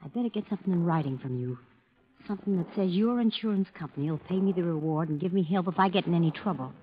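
An actress playing a shrewd, calculating young wife in a 1950s radio drama, cool and deliberate as she bargains. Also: on the low side, close to an everyday voice. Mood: guarded. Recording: muffled old radio recording.

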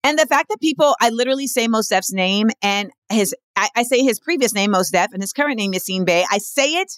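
A woman's voice: lively intonation, a bit bright, no echo. Direct, emphatic woman with a dry sound. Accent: general American. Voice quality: says she has a deep voice. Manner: direct, emphatic, quick asides. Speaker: guest. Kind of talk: candid conversational interview.

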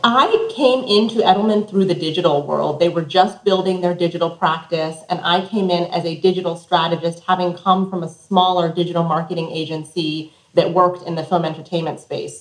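A woman's voice: on the low side, moderate pace, few pauses, echoey room, low-quality recording. A middle-aged American woman from Colorado, giving articulate, reflective answers as an interview guest. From Colorado, United States. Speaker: interview guest, communications executive.

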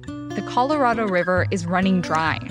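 A woman's voice: lowish voice, lively intonation, normal speed. A woman reading scripted trailer narration for a podcast. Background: plucked guitar music.

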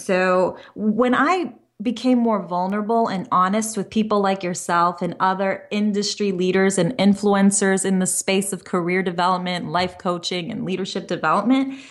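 A young woman, a career coach who is expecting a child, talking earnestly and openly as a guest in an interview, in long flowing runs with small restarts. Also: mid-range voice. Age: young adult. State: pregnant. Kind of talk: interview conversation.

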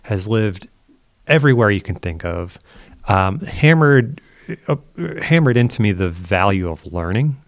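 Thoughtful guy, kinda low voice, keeps saying "right?", dry sound no room. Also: animated, unhurried, dry recording. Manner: reflective, restarts sentences, tags statements with "right?". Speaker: guest. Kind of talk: casual conversation.

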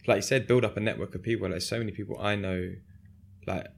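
A man hosting a casual interview, agreeable and relaxed, asking loose rambling questions. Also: lowish voice, fast talker, gravelly. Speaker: interview host.

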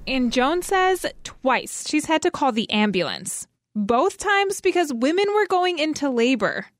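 Woman narrator, lively and fairly high, dry studio, soft music bed. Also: a little slow, no room sound. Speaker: radio host. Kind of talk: scripted radio narration.

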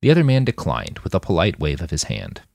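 A man's voice: low-pitched, huge pitch swings, fast talker, vocal fry, darkish tone, no echo, studio quality. A man reading scripted fiction as an audiobook narrator.

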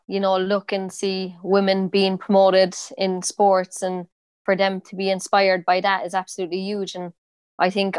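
Brief: twenties woman, Cork accent, flat even delivery, smooth voice, dry close sound, easygoing interviewee with fillers. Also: medium pitch, dry recording. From Ireland. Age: 20s. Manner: relaxed, many fillers and restarts. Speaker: interviewee, footballer. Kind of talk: casual interview.

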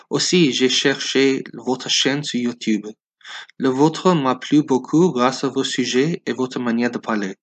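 American man, twenties, speaking as a learner. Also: mid-range voice. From United States, Los Angeles. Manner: non-native phrasing with learner's errors. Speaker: podcast listener sending a voice message. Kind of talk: prepared personal message in learner's French.

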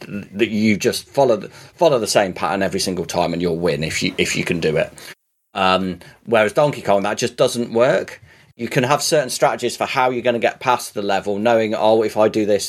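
A man chatting casually on a podcast, rambling with many restarts and swearing now and then as he gets excited. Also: on the low side. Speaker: podcast co-host. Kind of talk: casual podcast chat about games.

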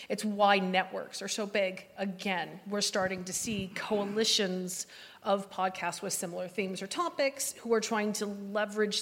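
A woman gives advice as a panel guest in a big reverberant room. Her mid-pitched voice comes across blunt and emphatic, and she repeats points to drive them home. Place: live event panel in a hall. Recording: echoey hall with long reverb.

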